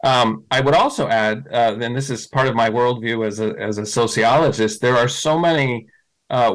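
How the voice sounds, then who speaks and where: A man's voice: mid-range voice, fairly bright tone, low-quality recording. A middle-aged man answering questions as a school board candidate, thoughtful, with many fillers and restarts.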